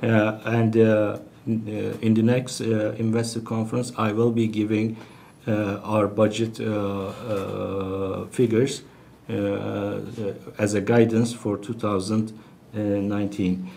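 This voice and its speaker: A man with a fairly low, soft-edged and mellow voice gives a business briefing. He speaks as an executive on an earnings call, unscripted and halting, often pausing to search for the next phrase. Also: level tone, gravelly. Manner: halting, hesitant delivery with long searching pauses.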